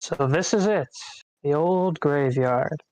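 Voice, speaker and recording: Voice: mid-range voice, slow, darkish tone, natural voice, rough recording. A performer reading a brash eighteen-year-old male content creator in an amateur screenplay table read. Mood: casual.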